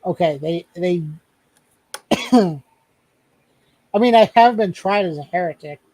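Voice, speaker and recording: A man's voice: sits high, lively intonation, slow, a little mellow, poor audio. A man hosting a casual livestream chat, joking around, laughing often and stumbling over his words. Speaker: streamer host.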